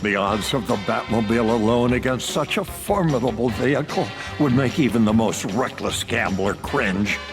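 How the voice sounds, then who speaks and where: An actor playing a grandiose, theatrical narrator of a campy 1960s superhero show in a video game. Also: male voice, mid-range voice, moderate pace, hardly any pauses, over music, natural voice. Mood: dramatic. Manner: theatrical, dramatic, alliterative.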